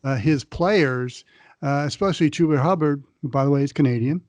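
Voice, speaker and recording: A man's voice: fairly high pitch, brisk, darkish tone, no echo. A man co-hosting a sports podcast, chatty and wry, with frequent hesitations. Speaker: podcast co-host. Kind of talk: casual sports talk.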